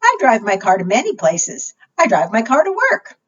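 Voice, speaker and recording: A woman hosting a preschool storytime, reading picture books aloud in an animated, expressive way and putting simple questions to young listeners. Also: on the high side, moderate pace, a little hiss or hum. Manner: asks young listeners questions, simple child-directed phrasing; animated, expressive with gasps and exclamations. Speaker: library storytime host for preschoolers. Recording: muffled, distant microphone.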